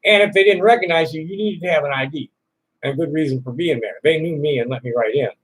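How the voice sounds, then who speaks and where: A man hosting a live call-in stream, rambling in a halting way with many fillers and restarts. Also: a little high, husky, low-quality recording. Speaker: livestream host answering chat questions. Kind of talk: rambling casual chat. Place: Tucson, Arizona.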